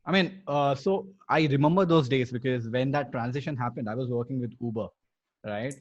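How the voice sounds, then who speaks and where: A man's voice: mid-range voice, fairly quick. A young man with an Indian accent hosting a podcast in a casual, enthusiastic, rambling way, on slightly dull audio. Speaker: podcast host. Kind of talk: casual conversational interviewing.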